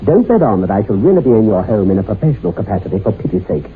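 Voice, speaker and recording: An actor playing a grave, urbane, insistent psychiatrist with a formal, authoritative manner, in a radio horror drama. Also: male voice, on the low side, very animated, racing. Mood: urgent insistence. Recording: tinny, hissy old radio drama recording.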